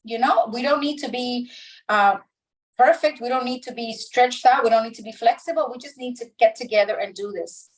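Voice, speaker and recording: Distant middle-aged woman, halting storyteller. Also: a little high. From Dominican Republic. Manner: halting, many restarts and pauses. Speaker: interview guest. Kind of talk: reflective personal storytelling.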